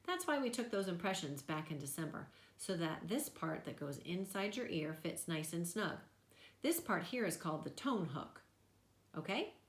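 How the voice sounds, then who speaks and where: A woman's voice: on the low side, big pitch swings, normal speed. Lady playing a jokey friendly guy doctor from a storybook, chummy, echoey room. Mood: reassuring, explanatory. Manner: chummy, explanatory. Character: easygoing, upbeat male ear doctor, jokey and reassuring.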